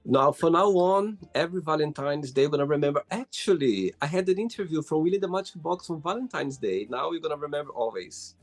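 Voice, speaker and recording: Male interview host, gravelly, husky, encouraging, casual, interested exclamations. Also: fairly high pitch, expressive, fairly quick, hardly any pauses.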